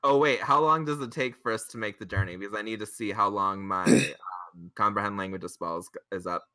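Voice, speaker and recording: Bright-voiced, talkative man gaming. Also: fairly low pitch, brisk, dry recording. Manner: talkative, cuts in on others. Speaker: player in a tabletop roleplaying game. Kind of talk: casual game chat.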